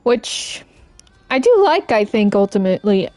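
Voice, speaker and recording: A woman game streamer chatting casually and informally as she plays, in a halting, rambling way. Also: mid-range voice, expressive, a little mellow, dry recording. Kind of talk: casual live-stream chat while playing.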